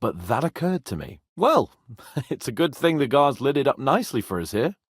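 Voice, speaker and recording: Hot-headed young nobleman, wildly expressive. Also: medium pitch, husky, no room sound, clean studio sound. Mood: wry, conversational. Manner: expressive, sarcastic, swearing, quick to exasperation. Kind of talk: video game voice acting.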